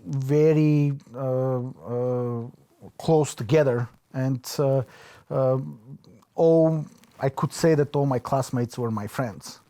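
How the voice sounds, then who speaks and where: A man with a Bulgarian accent, reflecting in an interview, pausing often to search for his words. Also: medium pitch, mellow. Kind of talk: reflective oral history interview.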